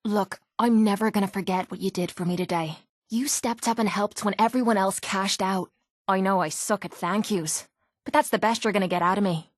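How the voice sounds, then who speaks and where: A woman's voice: mid-range voice, a little fast, no echo, studio quality, close to an everyday voice. A woman playing a cynical, defiant young female brawler in a video game, with an Irish accent and a blunt, sardonic, slangy delivery. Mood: guarded, earnest. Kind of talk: video game character dialogue.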